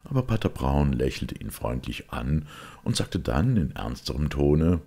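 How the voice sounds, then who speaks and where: A man reading a detective story aloud as an audiobook narrator, measured and expressive, with a gravelly, husky voice. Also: very deep voice. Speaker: audiobook reader.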